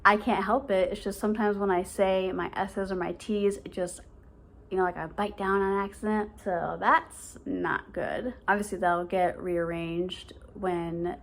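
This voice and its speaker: A woman's voice: medium pitch, fairly even intonation, a little slow, creaky. A woman in her late twenties, a vlogger talking casually to camera with a slight lisp and a stutter, using lots of fillers. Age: late 20s. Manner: speaks with a stutter; chatty, filler-heavy, rambling.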